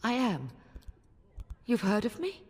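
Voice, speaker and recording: Gentle grieving village wife, fantasy game, slow earnest delivery, slightly husky, long reverb. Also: female voice, a little high, wildly swooping pitch, full character voice. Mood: grieving, grateful. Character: sorrowful gentle village wife awaiting missing husband and son. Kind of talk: fantasy video game voice acting.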